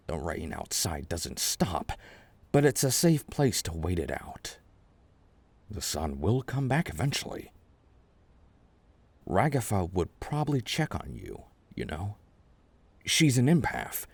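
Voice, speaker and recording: A man voicing a gentle, eccentric older chess king in an ASMR roleplay, reassuring and rambling in a halting way. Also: low voice, no room sound, full character voice. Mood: calm reassurance.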